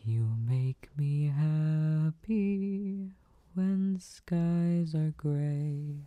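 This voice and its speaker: A man performing gentle, intimate boyfriend ASMR in a soft, soothing, hushed way, close to the microphone. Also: a little high, very slow, no echo. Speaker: comfort audio performer playing a boyfriend. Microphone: close desk microphone.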